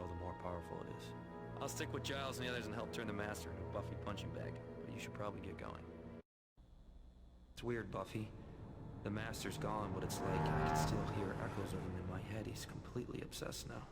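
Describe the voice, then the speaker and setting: An actor playing a brooding, remorseful vampire hero in a video game, serious and earnest with a gravelly voice. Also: male voice, lowish voice, fairly even intonation, fast talker, lightly acted. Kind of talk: video game drama acting. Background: loud music.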